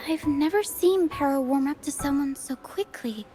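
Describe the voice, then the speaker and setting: A woman voicing a gentle, helpful young girl in a video game, soft-spoken and earnest with a playful streak. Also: high voice, normal speed, music in the background, close to an everyday voice. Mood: calm, friendly. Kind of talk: video game cutscene voice acting.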